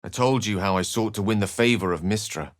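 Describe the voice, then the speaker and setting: An actor playing an erudite, urbane wizard with a dry wit and a theatrical, articulate way of speaking in a video game. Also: male voice, fairly low pitch, a little fast, natural voice. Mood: urbane, measured. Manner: theatrical, articulate, formal wording. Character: eloquent, erudite male wizard, urbane and dryly witty. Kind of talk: video game character dialogue.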